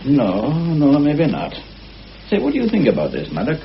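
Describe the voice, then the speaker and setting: An actor in an old radio drama playing a shrewd, genial police inspector, probing with courteous, wry questions. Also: male voice, mid-range voice, wildly swooping pitch, moderate pace, creaky. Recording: muffled, noisy old radio recording.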